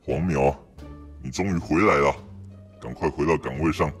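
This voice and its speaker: A man's voice: very deep voice, normal speed. A man playing a curt working figure of ancient China in an amateur radio drama. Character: curt male official or boatman of ancient China. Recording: music under the voice.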